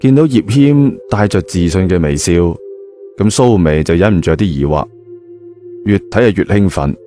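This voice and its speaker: A man's voice: deep voice, expressive, moderate pace, mellow, faint music behind. A man with a Hong Kong Cantonese accent telling a story in a colloquial narrating style. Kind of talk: colloquial audio-novel narration.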